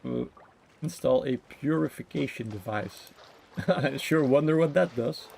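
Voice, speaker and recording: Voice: medium pitch, unhurried, vocal fry, darkish tone, a little hiss or hum. A game streamer giving casual live commentary, full of joking, slangy asides. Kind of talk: casual livestream gameplay commentary.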